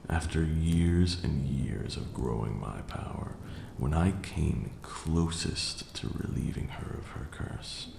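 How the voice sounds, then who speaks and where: A man playing an ancient vampire lord, cruel yet grieving, who delivers a brooding, theatrical ASMR roleplay monologue in a gravelly, breathy murmur. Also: rumbling low, roomy sound, noisy background, exaggerated voice. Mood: sorrowful, bitter.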